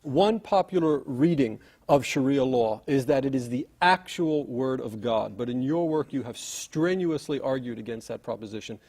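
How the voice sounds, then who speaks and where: A man's voice: mid-range voice, animated, normal speed, few pauses, a little mellow. A male academic hosting an interview show, giving a formal introduction and asking pointed questions. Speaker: host, professor.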